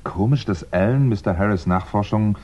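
An actor playing a calm crime writer turned amateur sleuth, reasoning deliberately in a 1960s German radio crime drama. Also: male voice, lowish voice, natural voice. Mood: pensive. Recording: dull, muffled 1960s radio recording.

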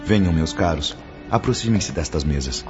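An actor playing a kindly, solemn spirit mentor in a Brazilian radio drama, speaking with formal, didactic diction and a Brazilian accent. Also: male voice, extremely low pitch, animated, moderate pace. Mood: kindly, reassuring. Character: Brother Clemente, kindly solemn male spirit mentor instructing apprentices. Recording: muffled audio under prominent music.

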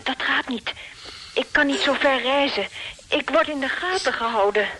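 A woman's voice: high-pitched, moderate pace, bright, forceful tone, a little hiss or hum, poor audio. An actress playing an anxious, guarded woman client calling a detective in a radio thriller. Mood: anxious, secretive. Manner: hesitant, guarded, insistent.

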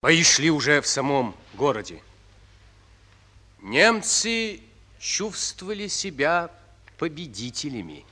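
An actor narrating a war story for a radio drama in a solemn, deliberate manner. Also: male voice, medium pitch, sharp tone, big-room sound, full character voice. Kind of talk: scripted narration.

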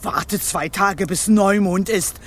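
A woman's voice: mid-range voice, takes it slowly, a little hiss or hum, lightly acted. A woman acting a haughty, scheming, irritable witch in a radio play, imperious and scornful. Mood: indignant, spiteful.

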